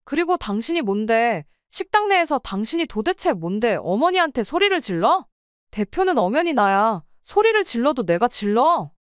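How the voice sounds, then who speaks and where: A woman's voice: fairly high pitch, very animated, moderate pace, clear, resonant tone, no room sound, clean studio sound. A woman playing an exasperated wife who runs a restaurant, arguing with her husband and in-laws. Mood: angry. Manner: pleading, then sharply arguing. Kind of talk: radio drama acting.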